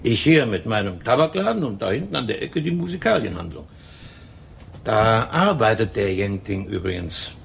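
Gossipy tobacconist, radio crime drama. Lively swoops of pitch, emphatic storytelling, faint noise behind. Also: male voice, creaky. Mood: confiding. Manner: talkative, emphatic, drawn-out storytelling. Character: chatty, gossipy male tobacco shopkeeper. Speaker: tobacco shop owner in a radio play.

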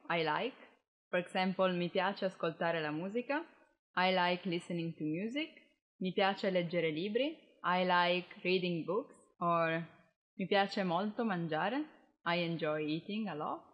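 A woman's voice: lowish voice, highs cut off. A woman in her early twenties with an Italian accent, a native Italian speaker, teaching a language lesson to camera. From Italy. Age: early 20s. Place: indoors, in front of a flag backdrop.

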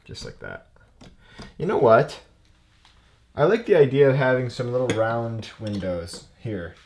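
Man teaching craft, casual and halting. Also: mid-range voice, sing-song. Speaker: woodcarving instructor. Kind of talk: casual hands-on craft demonstration. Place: indoor workshop.

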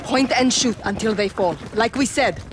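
An actress playing a young, tough and protective rebel commander in a video game, commanding and urgent. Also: female voice, a little high, moderate pace, background noise, lightly acted. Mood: grim, determined. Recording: loud game music and gunfire behind.

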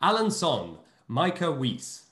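A man hosting an online play reading, briskly announcing each cast member over a video call. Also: fairly high pitch, reverberant. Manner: brisk, announcing names in a lively sing-song. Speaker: host and producer.

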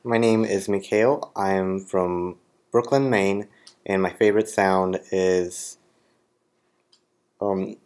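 A young man student introducing himself slowly and deliberately, recorded on a laptop webcam in a classroom. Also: low voice, steady tone, darkish tone, clear, resonant tone. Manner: slow, deliberate.

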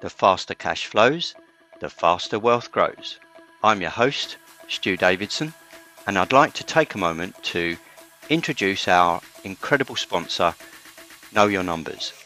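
A man's voice: sits low, expressive, measured pace, sharp tone, faint music behind. A man hosting a podcast, reading a scripted, upbeat introduction and sponsor message. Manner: upbeat promotional delivery. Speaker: podcast host.

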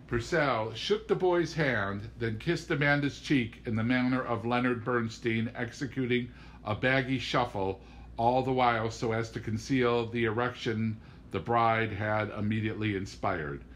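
A man's voice: mid-range voice, fairly even intonation, a little slow, fairly bright tone, slight background noise. A man reading a comic literary novel aloud as scripted audiobook narration. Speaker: audiobook reader.

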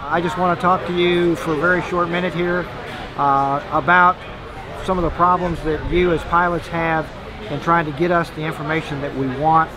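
An American man in his sixties making an informal appeal to camera as a medical official. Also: on the high side. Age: 60s. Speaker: physician and government official. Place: exhibit booth in a large indoor hall. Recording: busy exhibit hall chatter.

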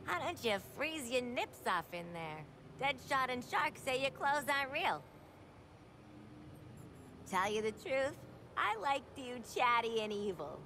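Sassy young villainess for a game: teasing, chatty, fairly high and animated, noisy ambience behind. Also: female voice, unhurried, exaggerated voice. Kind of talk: video game voice acting.

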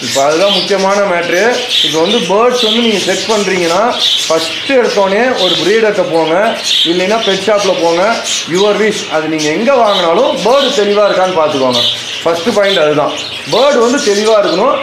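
High-voiced Tamil man at bird farm. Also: animated, fairly quick. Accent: Tamil Nadu. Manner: talks to viewers directly, walks through costs one by one. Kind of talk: casual how-to explainer. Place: bird farm, amid birds. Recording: echoey, loud background noise. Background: birds chirping.

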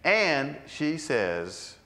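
Older priest preaching slowly and earnestly. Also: male voice, fairly high pitch, big pitch swings, pushed and bright. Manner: unhurried, earnest preaching with slowed emphatic phrases. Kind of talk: sermon. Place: large church sanctuary.

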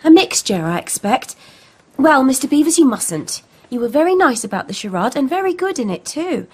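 A woman acting in a radio play as a lively, kind, forthright teenage girl. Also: sits high, moderate pace, breathy edge, exaggerated voice. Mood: kind, teasing. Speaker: actor in an audio play. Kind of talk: British radio drama acting.

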